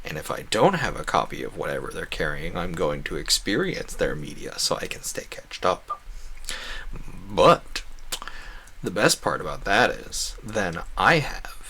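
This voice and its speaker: A man with a fairly low voice talks casually to viewers during a gaming livestream. He is talkative and rambles through his thoughts at length. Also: big pitch swings, a little slow, bright, forceful tone, husky. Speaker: video game livestreamer. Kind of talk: casual rambling livestream chat over gameplay.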